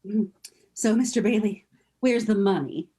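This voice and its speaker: A woman's voice: mid-range voice, moderate pace, warm tone, breathy edge, close to an everyday voice. A woman playing a polite, patient bank teller in a radio play, with a courteous, drily prompting manner.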